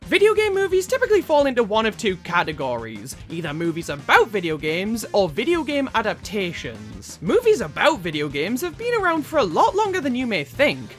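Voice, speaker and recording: A woman narrating a scripted video essay as host, with a wry, casual manner. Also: a little high. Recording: music playing behind. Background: background music.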